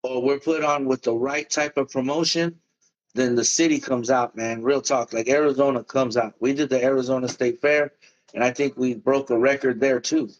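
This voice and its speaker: A man's voice: mid-range voice, fairly even intonation, moderate pace, dull audio. A musician guest in a casual interview, speaking in loose run-on sentences and calling the host "man" and "bro".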